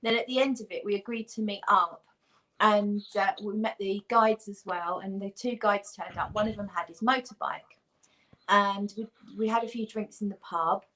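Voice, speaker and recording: A woman's voice: medium pitch, moderate pace, a bit bright, low-quality recording. Rambling, self-deprecating woman telling a story. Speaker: guest. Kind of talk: casual chat and anecdote telling.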